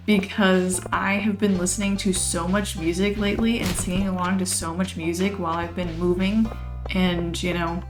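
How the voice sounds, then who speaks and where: A chatty woman, somewhat hoarse from losing her voice, rambling through casual gameplay commentary with frequent laughs. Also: lowish voice, little pitch movement, moderate pace. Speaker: let's play host. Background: game music.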